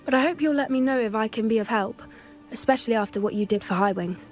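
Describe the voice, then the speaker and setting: An actress playing an earnest young witch student who cares for creatures and talks quickly and confidingly. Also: on the high side, a little mellow, soft background music, lightly acted. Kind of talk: video game voice acting.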